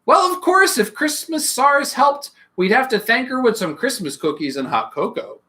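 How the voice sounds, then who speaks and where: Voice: medium pitch, very animated, moderate pace, pushed and bright, slight background noise, voice pushed up. A storybook reader playing a loving, imaginative mother who answers in a reassuring, playful way.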